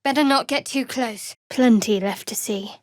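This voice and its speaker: An actress playing a guarded, sardonic young cleric of a dark goddess in a fantasy video game, with a dry, cool, wry delivery. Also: female voice, fairly high pitch, moderate pace, no echo, close to an everyday voice. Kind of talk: scripted fantasy game dialogue.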